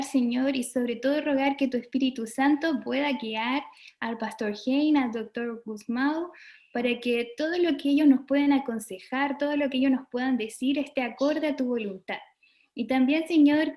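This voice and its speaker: A woman's voice: high voice, darkish tone, roomy sound. A young adult woman with a Chilean Spanish accent, a doctor co-hosting an online forum and leading a prayer in an earnest way. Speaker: co-host, doctor.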